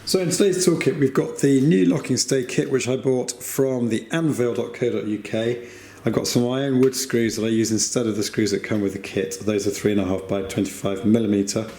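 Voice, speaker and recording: A British man hosting a DIY tutorial, a little hoarse as he is losing his voice, chatty and plain as he explains each step with small asides. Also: medium pitch, steady tone, a little fast, mellow, reverberant. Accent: British English. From United Kingdom. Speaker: DIY video host. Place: home workshop or kitchen.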